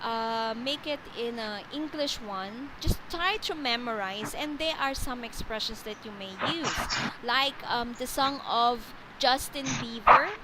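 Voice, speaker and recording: Filipino woman, online English tutor, high voice, patient and repetitive, noisy call line. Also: a little slow, hardly any pauses. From Philippines. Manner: patient, explanatory, often repeats and rephrases. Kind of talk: online one-on-one English lesson. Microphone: video call.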